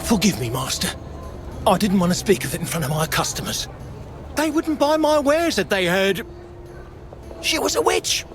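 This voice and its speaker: An anxious, self-justifying male merchant, very high for a man and swinging widely in pitch. He is servile and excitable, a medieval video game figure. Music plays strongly behind. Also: moderate pace, pauses often. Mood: pleading, defensive. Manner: pleading, excitable. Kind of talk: video game cutscene acting.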